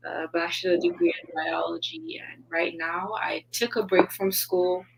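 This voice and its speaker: A woman's voice: on the low side, fairly even intonation, normal speed, gravelly, slightly rough, rough recording. A woman from Haiti, a business founder, talking earnestly in a casual interview and laughing often.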